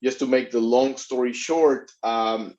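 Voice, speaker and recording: A middle-aged man from Rico, telling his story as an interview guest in a halting way with many restarts. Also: medium pitch, fairly even intonation, darkish tone. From Puerto Rico. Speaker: immigration attorney and guest. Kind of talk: conversational interview. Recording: dull lo-fi sound.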